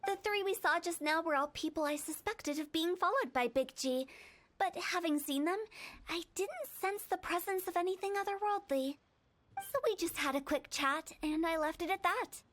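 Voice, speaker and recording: A woman's voice: very high voice, moderate pace, fairly bright tone. A woman voicing a playful, teasing young woman who directs a funeral parlor, with a giggly, breezy manner, in game audio. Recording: game audio with background music.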